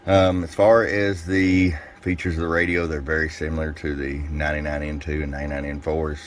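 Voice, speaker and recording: A man giving a casual, step-by-step hands-on product review in a plain-spoken, folksy way, pausing between short phrases. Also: a bass voice, little pitch movement, a little hiss or hum. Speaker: radio seller demonstrating a product.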